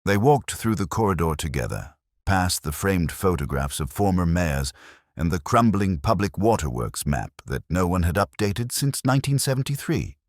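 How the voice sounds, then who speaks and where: Very deep-voiced male storyteller, dry studio sound. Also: very animated, normal speed, no echo. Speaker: audiobook narrator. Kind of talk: scripted mystery story narration.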